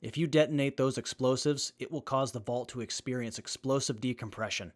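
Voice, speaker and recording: A man acting an earnest young wasteland survivor in an audio drama. He has a mid-pitched voice and a sincere, matter-of-fact delivery. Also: a little fast, lightly acted. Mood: grave explanation. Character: Johnny, earnest, apologetic, knowledgeable young man.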